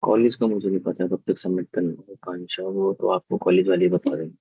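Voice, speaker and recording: A hoarse man teaches a live online class in a very low, husky voice with a gravelly edge. He explains things step by step and keeps checking that his students are following. The recording is dry and dull, with a lo-fi sound. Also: level tone, fairly quick, dry recording.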